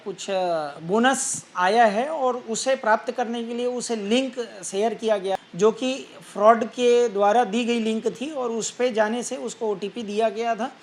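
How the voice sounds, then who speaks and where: A woman giving an unscripted interview statement, halting and matter-of-fact. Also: fairly high pitch, steady tone, a little mellow. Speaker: interviewee explaining a case. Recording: faint ambient noise.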